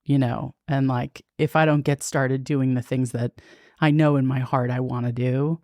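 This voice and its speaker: A woman's voice: very deep voice, steady tone, moderate pace, mellow, dry recording, studio quality. A woman in her fifties, a podcast guest talking frankly and with self-mocking humor. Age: 50s. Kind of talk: candid conversational interview.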